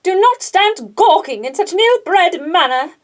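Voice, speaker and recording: A haughty older British aristocrat for an audiobook. The voice is high, sharp and throaty, scolding in slow, imperious phrases. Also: female voice, big pitch swings, heavily acted.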